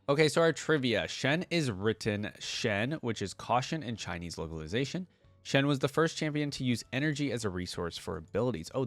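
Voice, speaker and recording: A man's voice: mid-range voice, no echo. A man giving casual gaming reaction commentary as a video host, thinking aloud in an informal way.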